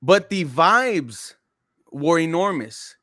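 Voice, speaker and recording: A man's voice: fairly high pitch, big pitch swings, fairly bright tone, no echo. A young man hosting a casual sports podcast, talking in an emphatic, hyped-up way. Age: young adult. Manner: hyped, emphatic, plugs sponsors in a fast read. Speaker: podcast host.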